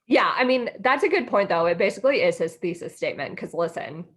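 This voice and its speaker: A woman's voice: medium pitch, expressive, quick pace, breathy edge. An American woman co-hosting a podcast in casual, friendly chat, informal and giggly, wandering off into asides. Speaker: podcast co-host.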